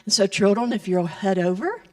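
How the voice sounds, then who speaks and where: A woman making gentle announcements and blessings during a church service. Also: medium pitch, animated. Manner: slow, gentle, blessing-style delivery. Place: church sanctuary. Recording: voice picked up from a distance.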